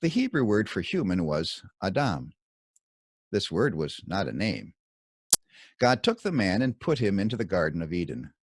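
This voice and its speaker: A man reading his own scripted Bible commentary as narration, in measured, deliberate short phrases. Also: low-pitched, sing-song, breathy edge, no room sound.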